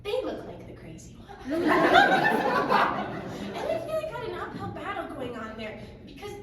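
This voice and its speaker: A young woman from Ukraine doing a stand-up comedy set on a club stage, with a wry, deadpan delivery and pauses for laughs. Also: very high voice, over a noisy crowd. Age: young adult. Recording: echoey club from far off. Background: audience laughter.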